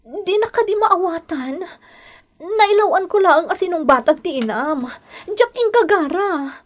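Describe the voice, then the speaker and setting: A woman playing an emotional, pleading wife with a sharp temper in a radio drama. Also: extremely high pitch, animated, measured pace. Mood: indignant.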